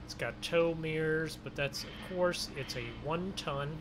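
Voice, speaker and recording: A man's voice: a little high, unhurried, background noise. A man giving casual, folksy role-play commentary as host of a farming game video, often exclaiming as he goes. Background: game vehicle and ambience sounds.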